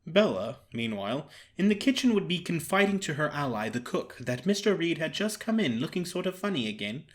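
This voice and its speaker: A man narrating a story in a theatrical, formal and expressive way, as an actor performing an audio drama. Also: fairly high pitch, a little fast.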